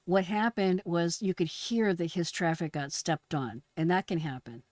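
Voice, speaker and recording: Woman, lower register, dry close sound, conversational explainer walking listeners through procedures. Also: moderate pace, a little mellow, no room sound. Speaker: retired dispatcher presenting radio traffic. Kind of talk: casual explanatory commentary.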